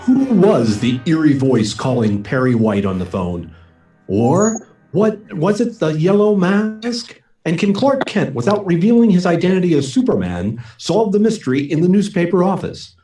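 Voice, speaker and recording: A theatrical male serial announcer, performed by a man in 1940s radio style. His fairly high voice rises and falls dramatically, slightly away from the mic. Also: very animated, normal speed, mellow, soft background music. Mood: portentous, suspenseful. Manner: portentous, theatrical. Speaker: narrator and announcer. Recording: distant mic, live remote performance.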